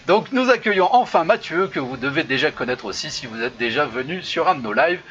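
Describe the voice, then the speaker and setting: A man's voice: on the high side, animated, a little fast, fairly bright tone. A man hosting an online improv show over a video call, hesitant with many fillers and frequent laughs. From Lyon region. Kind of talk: casual live-stream hosting. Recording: dull lo-fi video call audio with faint noise.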